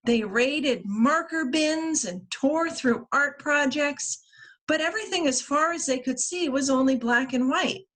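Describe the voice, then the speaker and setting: Woman, higher-pitched voice, very unhurried pace, children's storybook read-aloud, expressive deliberate delivery. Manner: expressive, deliberate phrasing with acted-out character lines. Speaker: children's librarian reading at story time.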